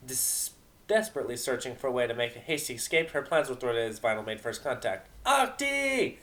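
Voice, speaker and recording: Man narrating a story, mid-pitched voice. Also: fast, fairly bright tone. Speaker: narrator of a fan fiction reading.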